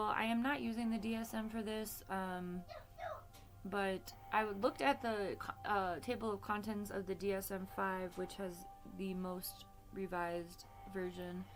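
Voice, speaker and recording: A woman in her late thirties speaking candidly in a casual monologue, full of fillers and asides. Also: mid-range voice. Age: 30s. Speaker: podcast host.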